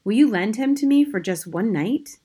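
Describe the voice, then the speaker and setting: Woman playing an eager, high-voiced clever girl. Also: expressive, normal speed, mellow, breathy edge.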